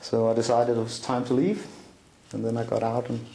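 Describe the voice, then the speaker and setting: Middle-aged Danish man, gravelly dark voice, echoey room, halting interview guest. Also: mid-range voice, flat delivery. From Denmark. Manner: halting, many fillers and restarts. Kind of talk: recounting a personal story in an interview. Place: small room indoors, seated at a table.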